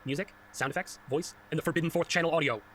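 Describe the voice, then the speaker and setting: A man presenting a software tutorial in scripted instructional narration. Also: on the high side, fast, slight background noise.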